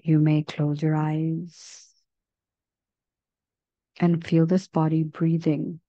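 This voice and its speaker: A woman leading a guided meditation in a gentle, measured manner, leaving long pauses between phrases. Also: deep voice, flat delivery, no room sound, muffled recording.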